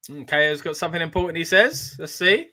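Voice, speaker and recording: Excitable man, bright voice. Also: a little high, quick pace. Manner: excitable, chatty, reads out viewer comments. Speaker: wrestling watch-along host. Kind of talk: live casual reaction commentary.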